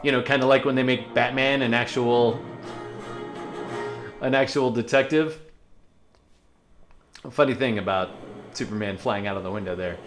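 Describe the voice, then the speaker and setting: A man hosting a reaction video, chatting casually and musingly in halting asides as he comments on a cartoon. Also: medium pitch, music in the background.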